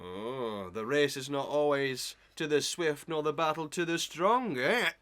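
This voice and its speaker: A man's voice: fairly high pitch, lively intonation, a bit bright. A man acting a pompous, cunning old schoolmaster in a Victorian melodrama, hemming, drawing out words and leaning on stock sayings. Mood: blustering. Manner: theatrical, hemming, drawn-out, full of stock sayings. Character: pompous, cunning old village schoolmaster, proverb-quoting and blustering.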